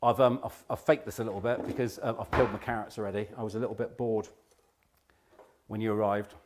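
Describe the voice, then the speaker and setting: A man's voice: lowish voice, fairly even intonation, fast, background noise. An English man hosting a live cooking show, chatting casually as he cooks, with many ums and restarts. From England. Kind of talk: casual chat while cooking.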